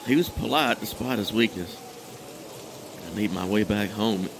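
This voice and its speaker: An actor playing a troubled, guilt-ridden husband in an audio drama, recounting a memory in a halting, hesitant, brooding monologue. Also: male voice, on the low side, noisy background, close to an everyday voice. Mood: brooding, regretful.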